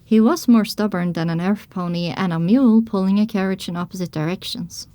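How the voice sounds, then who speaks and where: A woman playing a pony mare who narrates her own adventure in measured, descriptive audiobook style. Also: mid-range voice, warm tone, no room sound, lightly acted. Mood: narrating. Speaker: first-person narrator.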